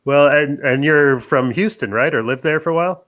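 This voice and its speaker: A man, a comedian, hosting a casual interview over a video call, chatty and joking with halting restarts. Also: a little high, fairly bright tone. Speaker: comedian and podcast host.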